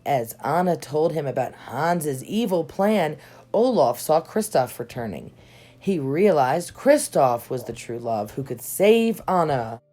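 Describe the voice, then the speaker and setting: Female children's storybook narrator, slightly low voice, very animated intonation, dramatic emphasis. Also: measured pace. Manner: dramatic emphasis on key words. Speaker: storybook reader. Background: soft music.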